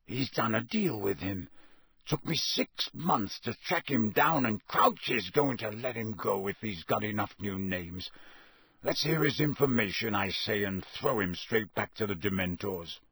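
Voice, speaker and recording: A man in a storybook reading, voicing a gruff, sardonic veteran wizard lawman in a growling mutter. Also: lowish voice, animated, drawn-out words, dry recording, full character voice. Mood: bitter, sardonic.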